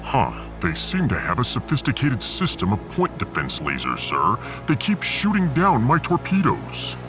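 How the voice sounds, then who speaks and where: A man's voice: mid-range voice, huge pitch swings, moderate pace, music in the background. A man playing a proud, battle-hungry barbarian starship gunner in a sci-fi audio drama, speaking formally and stoically. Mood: battle-focused.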